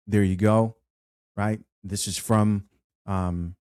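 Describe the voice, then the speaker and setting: A man hosting an unscripted commentary show, chatty and animated as he reads viewer comments aloud. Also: on the low side, takes it slowly, no room sound, clean studio sound. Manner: chatty, animated, with frequent fillers and restarts. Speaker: host.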